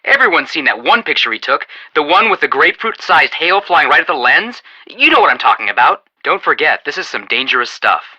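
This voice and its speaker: Very bright, excitable young male sleuth. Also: on the high side, brisk, few pauses, breathy edge, dull audio, heavily acted. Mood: chatty, opinionated. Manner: chatty, joking, emphatic. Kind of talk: animated video game dialogue.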